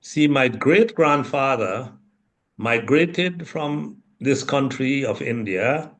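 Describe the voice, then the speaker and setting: Older guyana-born man, interview guest, slow thoughtful talk, lots of ums. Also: medium pitch. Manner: measured, thoughtful, with many pauses and fillers.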